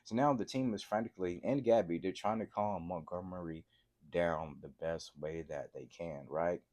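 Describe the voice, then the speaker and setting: A man with a cold and a sore throat, excitedly recapping a TV episode for his viewers and often checking in with a quick "right?". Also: deep voice. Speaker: TV episode reviewer. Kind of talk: casual TV recap vlog.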